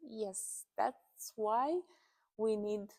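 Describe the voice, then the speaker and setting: A woman's voice: medium pitch, very slow, creaky, dark tone. A woman from Romania, a guest in an interview, talking animatedly and often rephrasing as she goes. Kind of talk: casual interview conversation.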